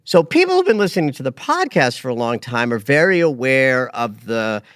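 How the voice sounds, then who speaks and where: A man in his late fifties hosting an astrology podcast, talking casually and expressively, rising to emphatic highs and dropping into quiet asides. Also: sing-song, normal speed, smooth, no room sound, clean studio sound. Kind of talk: casual conversational chat.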